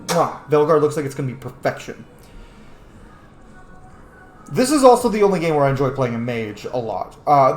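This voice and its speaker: A man's voice: medium pitch, a little hiss or hum. Opinionated man commentating in a roomy space. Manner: opinionated, emphatic. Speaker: gaming video host. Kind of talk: casual gameplay commentary.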